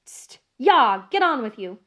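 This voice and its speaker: A woman's voice: high voice, sing-song, brisk, muffled recording, voice pushed up. Woman putting on a vexed, blustering male coachman. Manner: exclamatory, rough-spoken. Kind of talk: audiobook character voice.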